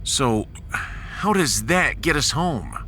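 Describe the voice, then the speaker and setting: A man's voice: on the high side, very animated, slow pace, pushed and bright, a little hiss or hum, exaggerated voice. A man playing a bold, sarcastic starship captain in a science fiction audio drama, with a dramatic and wry delivery. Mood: questioning.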